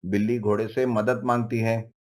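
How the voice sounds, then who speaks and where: A man's voice: lowish voice, flat delivery, moderate pace. A man giving scripted narration for a children's moral story.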